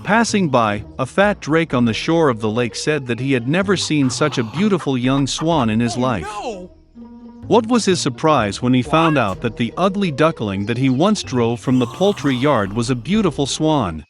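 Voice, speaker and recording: Lively man reading a fairy tale. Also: mid-range voice, moderate pace, faint music behind. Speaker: storyteller. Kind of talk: scripted fairy-tale narration.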